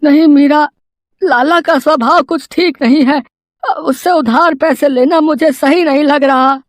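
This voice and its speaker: A woman voicing a poor, humble, anxious village farmer in an animated moral story, with a hesitant, pleading manner. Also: high-pitched, a little slow, no echo, studio quality, pitched above the performer's own voice. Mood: worried.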